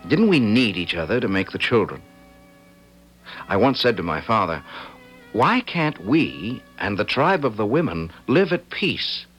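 A man's voice: on the low side, fairly bright tone. An actor playing a meek, cheerful young man, the priest's son, who tells his own story with wry, hesitant self-mockery. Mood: puzzled. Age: young adult. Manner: self-mocking, hesitant, wry first-person telling. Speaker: narrator. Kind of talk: first-person storytelling in radio drama.

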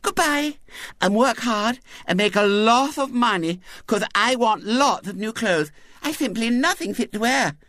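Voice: mid-range voice, animated, measured pace, fairly bright tone, dry recording, studio quality, pitched above the performer's own voice. A storyteller voicing an imperious, simpering little girl with a sweet, lisping, wheedling manner. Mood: bossy, happy. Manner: lisping, sugary, pleading.

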